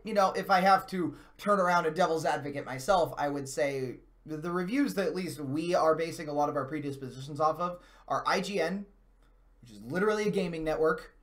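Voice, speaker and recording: Man, slightly high-pitched, co-host, wordy, qualifying, halting phrasing, casual discussion. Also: fairly bright tone. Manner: wordy, deliberate hedging, stop-start phrasing. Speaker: co-host of a video game and movie show.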